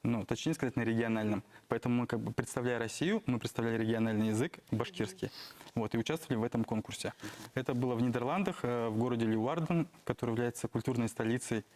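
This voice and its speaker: A man explaining at length, mid pitch. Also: quick pace, darkish tone. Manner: detailed, explaining, often laughing. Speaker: band leader. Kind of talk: studio interview.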